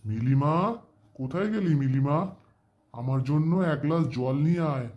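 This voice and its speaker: A man's voice: on the low side, little pitch movement, fairly quick, lo-fi sound, lightly acted. A man voicing a caring, worried uncle in a cartoon story, with a concerned, pleading way of speaking. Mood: calling out.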